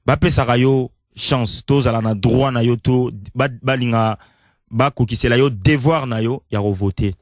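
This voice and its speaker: A man's voice: lowish voice, darkish tone, dry recording, studio quality. A man with a Congolese accent hosting a conversational radio show, often repeating and rephrasing his phrases. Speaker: radio host. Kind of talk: conversational radio talk, code-switching.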